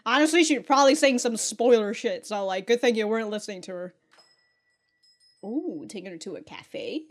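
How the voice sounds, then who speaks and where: A woman's voice: fairly high pitch, animated, moderate pace. A woman giving casual, slangy reaction commentary as a video host, exclaiming and swearing freely.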